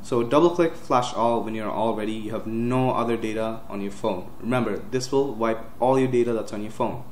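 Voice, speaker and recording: A young man giving a casual step-by-step computer tutorial, with frequent uhs and quick self-corrections. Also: lowish voice, darkish tone. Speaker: tech tutorial host. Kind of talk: screen-recorded software walkthrough. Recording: roomy with faint hiss.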